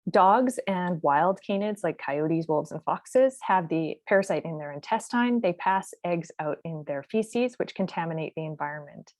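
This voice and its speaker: Woman, lowish voice, dry close sound, clear measured explaining, video call. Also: lively intonation, a little mellow, no echo. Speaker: researcher presenting. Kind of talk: webinar presentation.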